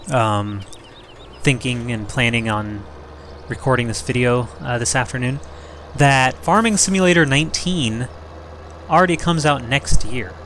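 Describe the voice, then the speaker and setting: A young man hosting a farming game let's play, slightly hoarse and rough-voiced, rambling and joking at himself as he thinks aloud. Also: medium pitch, a bit bright, a little hiss or hum. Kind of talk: casual gameplay commentary.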